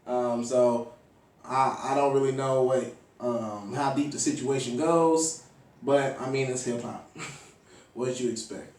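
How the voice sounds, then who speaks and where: A man's voice: medium pitch, warm tone. Man hosting a casual interview in a roomy space. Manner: informal slangy, frequent "you know what I'm saying". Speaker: interview host. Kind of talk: casual hip-hop talk interview. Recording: roomy echo, distant from the mic.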